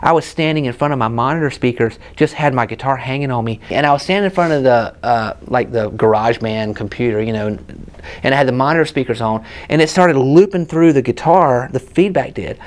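A man's voice: mid-range voice, fairly quick. Man, Southern US accent, thirties, casual guitar-shop talk, storytelling. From South Carolina, USA. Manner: chatty storytelling, explaining gear hands-on. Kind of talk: casual gear demo chat. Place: guitar shop room with hanging guitars, indoors.

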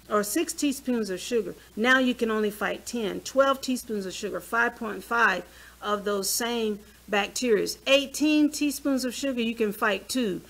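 A woman's voice: fairly high pitch, vocal fry. A woman of sixty giving a health talk in a small church hall, chatting with the audience and asking them questions as she speaks from a podium mic. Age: 60s. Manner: lively, conversational, asks the audience questions.

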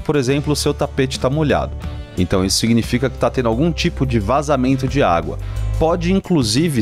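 A man in his thirties from Brazil hosting a car question show, explaining to camera in a conversational way on a studio microphone. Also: mid-range voice. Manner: conversational explainer, occasional fillers and restarts. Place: studio set, indoors. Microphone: studio mic on stand in front.

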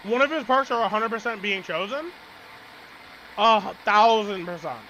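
A man in his late twenties streaming a game and chatting casually, excitable and swearing freely while talking over his friend. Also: high voice, normal speed, slight background noise, poor audio. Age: 20s. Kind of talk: casual chat while gaming. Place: small bedroom with colorful wall.

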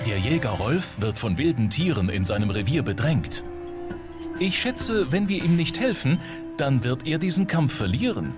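A male actor as an earnest, naive game android learning to be human. Phrasing is formal and precise, while the pitch swings very widely. Music sits clearly in the background. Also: big pitch swings, moderate pace, lightly acted. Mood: earnest, matter-of-fact. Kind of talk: video game voice acting.

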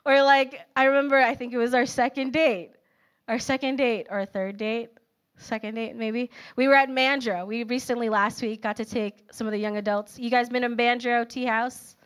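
Animated woman preaching on a church stage. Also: a little high, normal speed, clear, resonant tone. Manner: animated and personal, filler-heavy storytelling. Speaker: speaker at a young adults' service. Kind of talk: conversational sermon. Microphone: handheld microphone.

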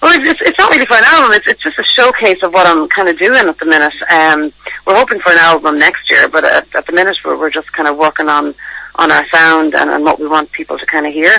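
An Irish woman with a low speaking voice, a singer chatting as a radio guest in a relaxed way and laughing often. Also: fast, gravelly, poor audio. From Ireland. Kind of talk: radio interview.

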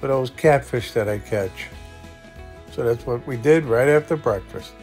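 A man's voice: medium pitch, moderate pace, darkish tone, soft background music. A middle-aged man hosting an upbeat vlog, talking and narrating. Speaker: vlog host.

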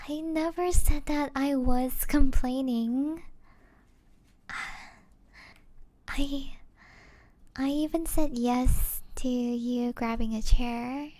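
A woman performs an affectionate young gamer girlfriend for an ASMR roleplay. Her voice is high and mellow, breathy and often close to a whisper. She speaks very slowly and hesitantly, with a playful, teasing softness. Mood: teasing, soft. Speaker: roleplay voice actor. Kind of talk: soft-spoken ASMR roleplay.

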